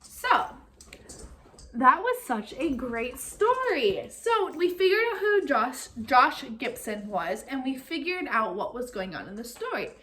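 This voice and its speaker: A woman reading a picture book aloud like a teacher, expressive and speaking directly to young listeners. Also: high voice, unhurried. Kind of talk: read-aloud storytelling with comprehension questions.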